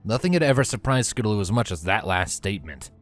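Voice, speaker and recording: A man narrates a story in a fairly low voice. His delivery is scripted storybook reading, with light music playing behind him. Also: big pitch swings, fast.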